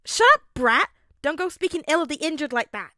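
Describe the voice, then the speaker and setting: A woman's voice: sits high, expressive, a little fast, no room sound, clean studio sound, voice pushed up. A woman voicing a brash, foul-mouthed mother who scolds bluntly in an audiobook story. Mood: scolding. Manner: shouting, blunt, swearing. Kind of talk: audiobook character acting.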